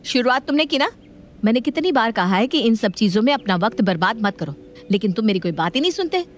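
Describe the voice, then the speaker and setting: A woman playing a strict, watchful modern mother with a firm, knowing, scolding manner in an animated family story. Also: a little high, fast, slight background noise.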